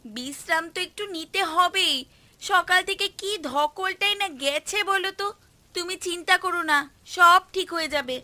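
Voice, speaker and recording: Bright, high Bengali village woman. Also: normal speed, no room sound, full character voice. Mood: concerned. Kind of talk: cartoon voice acting. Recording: music behind the voice.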